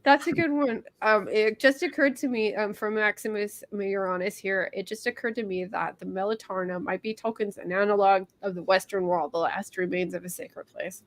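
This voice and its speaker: A woman's voice: medium pitch, steady tone, moderate pace, hardly any pauses. A woman hosting a book discussion, chatting informally and often saying "like" as she thinks. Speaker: book club livestream host. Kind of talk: casual discussion.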